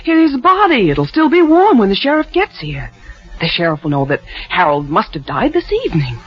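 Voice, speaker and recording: Woman playing an animated radio-thriller accomplice. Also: medium pitch, moderate pace, natural voice. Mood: anxious. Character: uneasy female accomplice in a murder plot. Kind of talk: old-time radio drama acting. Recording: dull old radio recording with music.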